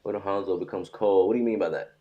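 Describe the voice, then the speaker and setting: A man streaming live about a fighting game, rambling and excitable, sounding stuffy from a sore throat. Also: low-pitched, fast, narrow, tinny audio. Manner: excitable, rambling, says "bro" a lot. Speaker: gaming streamer.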